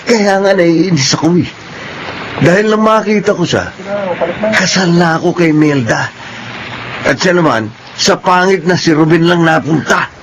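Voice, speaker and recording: A cocky Filipino street bully in a TV drama. His voice sits fairly high for a man and carries boastful menace. The recording has faint background noise. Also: a little slow, pauses often. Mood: lustful, frustrated. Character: cocky, menacing married neighborhood thug. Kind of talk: Filipino television drama acting.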